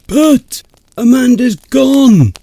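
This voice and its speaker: A man's voice: sits high, animated, unhurried, no echo, voice pushed up. A man doing a frantic, panicky young guy. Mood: panicked. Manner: frantic, loud, urgent.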